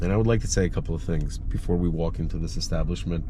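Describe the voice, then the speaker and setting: Stuffy-nosed guy talking in a car, lowish voice, sarcastic and rambly, engine hum behind. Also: quick pace. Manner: rambling, sardonic, self-mocking. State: stuffy nose from a cold. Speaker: vlogger. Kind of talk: casual chat while driving. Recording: recorded in a car with road noise.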